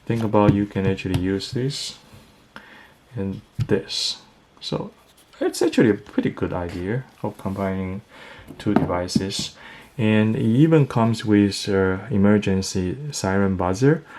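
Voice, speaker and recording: A man giving a casual unboxing and product review, talking in a halting, think-aloud way. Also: low voice, noisy background.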